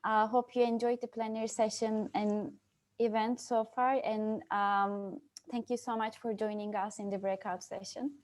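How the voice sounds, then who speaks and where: A woman's voice: on the high side, flat delivery, normal speed, a little mellow. A young woman from Turkey moderating an online breakout session, hesitant, with many fillers and restarts. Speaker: youth moderator. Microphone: video call.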